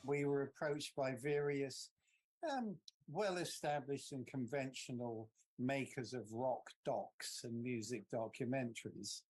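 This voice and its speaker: A man answering an interview question in a deliberate, measured way, pausing to choose his words. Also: mid-range voice, darkish tone, rough recording. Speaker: interviewee, band founder.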